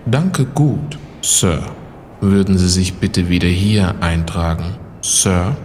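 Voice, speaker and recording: Deep, slow-spoken man as a courteous doorman. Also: a little mellow, a little hiss or hum. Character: deferential, courteous male office-building doorman. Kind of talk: audio drama acting. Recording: long reverberant hall, faint ambience.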